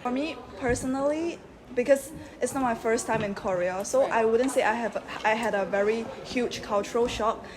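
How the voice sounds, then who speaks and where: A young woman student in a relaxed, casual way, listing her favorite places to visit. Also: fairly high pitch, normal speed, a crowd in the background. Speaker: summer school student. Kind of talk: casual recommendations.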